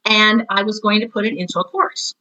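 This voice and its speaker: A middle-aged woman chatting casually and enthusiastically as an interview guest, telling stories in an animated way and acting out what people said. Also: medium pitch, brisk, rough recording. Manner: animated storyteller, acts out quoted remarks. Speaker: interview guest, drama teacher and author.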